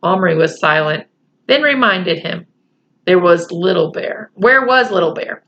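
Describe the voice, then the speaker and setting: A woman reading a children's book aloud with chatty, expressive asides to young listeners. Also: lowish voice, a little slow, slight background noise. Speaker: storyteller, teacher. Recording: slightly muffled home recording.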